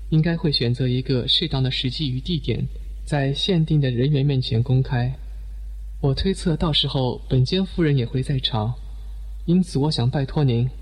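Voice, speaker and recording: A man's voice: mid-range voice, husky, lightly acted. A man playing a serious middle-aged member of a wealthy family in a detective audio drama.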